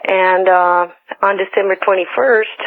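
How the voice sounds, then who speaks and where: A woman's voice: medium pitch, fairly even intonation, unhurried. Woman caller on a tinny phone line. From western United States. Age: middle-aged or older. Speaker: radio show caller. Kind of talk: telling a personal story. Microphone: telephone.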